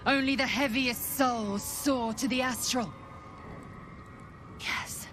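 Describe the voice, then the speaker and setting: A woman's voice: on the high side, steady tone, slow pace. An actress voicing a fierce, proud female githyanki warrior with a harsh, declaiming manner, heard over a music score. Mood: zealous anguish.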